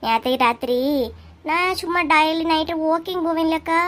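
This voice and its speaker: A woman's voice: very high-pitched, moderate pace. A woman voicing a gossipy, inquisitive cartoon village woman who speaks chatty Kanyakumari Tamil. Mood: gossipy, complaining. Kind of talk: cartoon comedy dialogue.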